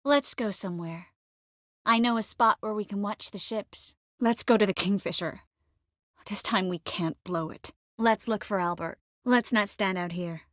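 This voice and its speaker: An actress playing a young sorceress in a video game, warm and clever, with a wry, quietly determined manner. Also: female voice, mid-range voice, moderate pace, no room sound, clean studio sound, natural voice. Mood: wistful, warm. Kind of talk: video game character dialogue.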